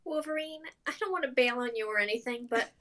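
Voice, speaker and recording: A woman's voice: fairly high pitch, fast talker, a loud crowd, natural voice. A woman voicing an anxious, sassy teenage girl in a table read, plaintive and protesting. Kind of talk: live script table read.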